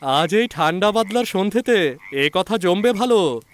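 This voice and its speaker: A man's voice: high voice, expressive, measured pace, pitched above the performer's own voice. A man voicing a cocky young doctor in an audio story, a sceptic who talks in a mocking, needling, chatty way. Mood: teasing, eager. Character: cocky sceptical young male doctor, teasing and polite on the surface. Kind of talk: audio story character acting.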